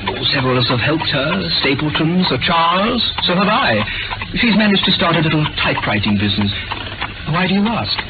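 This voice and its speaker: Animated, chatty country doctor. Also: male voice, a little high, brisk. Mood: chatty, musing. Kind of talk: 1940s radio acting. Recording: muffled vintage radio, loud music.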